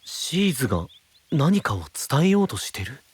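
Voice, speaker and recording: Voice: mid-range voice, sing-song, moderate pace, slightly rough, no room sound. An actor playing a kind, caring young male knight with a considerate, friendly manner in an anime-style voice drama. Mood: curious. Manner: considerate, friendly, polite-casual.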